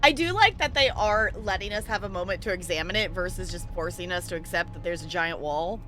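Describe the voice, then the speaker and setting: A woman's voice: on the low side, fairly quick, bright, forceful tone, soft background music. A woman giving casual live commentary on a game as she plays, chatting and explaining things conversationally. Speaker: game streamer.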